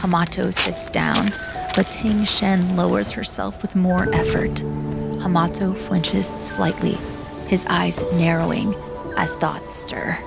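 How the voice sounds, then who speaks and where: A woman narrating a dramatic scripted story in a solemn, measured manner. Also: lowish voice, lively intonation, vocal fry, loud music behind the voice.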